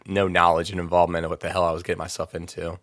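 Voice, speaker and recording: A man's voice: low voice, level tone, racing, fairly bright tone, no room sound, clean studio sound. A young man from Maryland chatting casually as a podcast guest, rambling with lots of fillers and laughing easily. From Maryland, USA. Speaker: podcast guest, metal vocalist. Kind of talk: casual interview chat.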